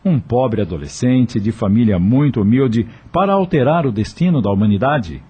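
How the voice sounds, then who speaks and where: Mellow, husky male radio-drama narrator. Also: mid-range voice, moderate pace. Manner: expressive, storytelling.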